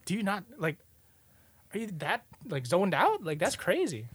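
A man's voice: high-pitched, huge pitch swings, normal speed, fairly bright tone, breathy edge. A young man telling a story in casual, slangy, sweary chat, acting out what people said as he goes. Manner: slangy, profane, acts out quotes conversationally. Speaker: podcast co-host telling a story.

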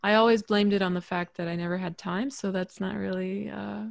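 Woman musician on a video call, middle pitch, dry close sound, relaxed and thoughtful, lots of ums. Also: fairly quick, gravelly, no echo. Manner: reflective, many fillers and laughs. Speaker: musician guest. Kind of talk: relaxed interview chat.

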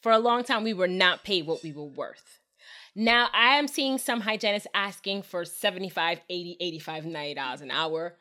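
Bright-voiced laughing woman. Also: on the low side, lively intonation, moderate pace. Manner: laughs often, emphatic. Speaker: dental hygienist and educator, co-host. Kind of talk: casual podcast chat.

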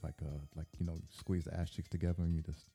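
Deep, husky, laid-back man. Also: flat delivery, quick pace, mellow, soft background music. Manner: laid-back, crude joking, slangy. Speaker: podcast co-host. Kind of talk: casual slangy chat. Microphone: podcast microphone, sometimes off-mic.

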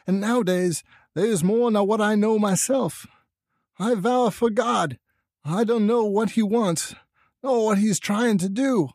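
A man's voice: high-pitched, a little slow, darkish tone, no echo, studio quality, voice pushed up. A man voicing a frightened, downtrodden rural mother in a horror story, complaining plaintively in rustic dialect. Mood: fearful complaint.

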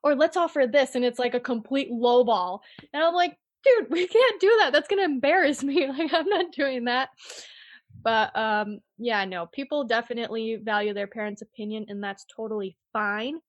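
A woman's voice: fairly high pitch, normal speed, no echo. Young woman chatting, dry close sound. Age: 20s. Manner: blunt, animated retelling with quoted asides and frequent "like". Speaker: real estate agent, guest. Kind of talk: casual chat, interview answers.

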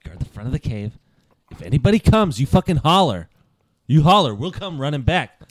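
A man's voice: on the high side, big pitch swings, fairly quick, no echo. Blustery man playing a tabletop game. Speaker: player. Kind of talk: casual tabletop play.

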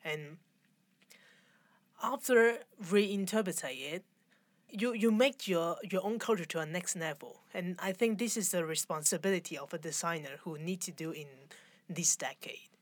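A woman in her forties from Hong Kong, speaking with a Hong Kong accent and halting, searching English as an interview guest. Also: fairly low pitch, no room sound. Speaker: fashion designer, guest. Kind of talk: conversational interview.

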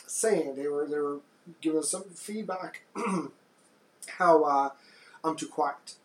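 A man with a deep voice co-hosting a podcast in casual chat, soft-spoken and hesitant, often restarting his sentences. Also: takes it slowly, echoey room. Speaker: podcast co-host.

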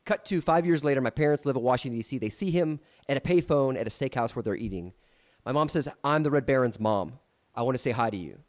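A man's voice: medium pitch, lively intonation, quick pace. A man in his thirties hosting a podcast, teasing his guest and telling stories in a joking way. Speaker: podcast host. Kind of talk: casual podcast chat.

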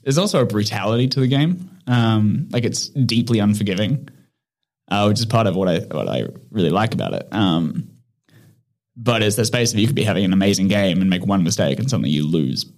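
A man's voice: on the low side, expressive, fairly quick, a little mellow. Reflective man, chess trainer guest. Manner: reflective, searching for words, laughs often. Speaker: guest, founder of an online chess training platform. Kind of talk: casual conversational interview.

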